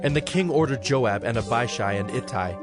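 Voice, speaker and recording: A man's voice: medium pitch, expressive, brisk. Solemn male narrator over music. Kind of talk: scripted scripture narration. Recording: music bed under narration.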